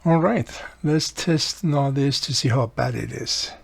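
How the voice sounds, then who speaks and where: Gravelly, husky man giving a repair tutorial. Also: medium pitch, a little slow. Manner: explains step by step, repeats key points. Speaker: radio repair video host. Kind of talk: casual hands-on repair tutorial.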